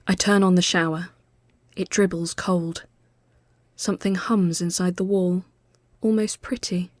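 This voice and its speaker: A woman reading a literary ghost story as scripted narration, measured and often hushed as phrases end. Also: fairly low pitch, fairly even intonation, dry recording, studio quality.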